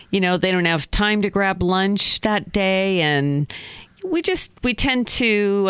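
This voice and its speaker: A school board member talking in a casual interview, earnest and quick to laugh, with many small restarts. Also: mid-range voice, a bit bright, smooth, no echo.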